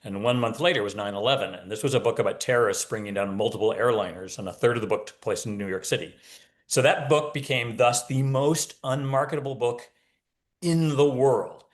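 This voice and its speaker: A man's voice: mid-range voice, brisk. A man speaking as a guest author in a casual interview, telling anecdotes with dry humour.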